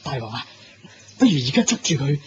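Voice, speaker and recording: A man's voice: mid-range voice, very animated, a little fast, lightly acted. A man with a Hong Kong Cantonese accent playing a puzzled, respectful royal guard in a Buddhist radio drama. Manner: inquisitive, respectful. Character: deferential, puzzled royal guard attending a king. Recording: dull lo-fi recording with faint noise.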